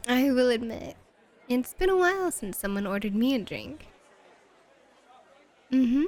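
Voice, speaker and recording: A woman playing a flirty, teasing bunny-club hostess in an ASMR roleplay, with coy, drawn-out phrasing. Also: high-pitched, sing-song, a little mellow, some chatter behind, full character voice.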